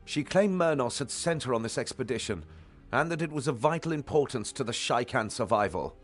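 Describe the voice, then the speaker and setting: An actor playing a dutiful soldier lieutenant in a fantasy video game, giving a plain, earnest report. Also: male voice, mid-range voice, animated, brisk, soft background music, natural voice. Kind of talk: dramatic video game acting.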